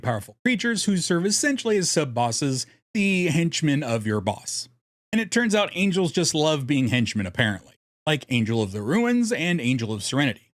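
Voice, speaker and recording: Guy narrating a guide vid, really lively up and down pitch, casual and a bit wry. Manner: playful, wry asides. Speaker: host of a card game video. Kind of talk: scripted narration, deck guide with casual asides.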